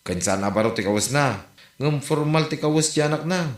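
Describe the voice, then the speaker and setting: A man's voice: mid-range voice, expressive, fairly quick, lightly acted. A man playing a blunt, gossipy villager in a comedy audio drama, grumbling conversationally with a northern Philippine accent. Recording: roomy, echoey voice recording.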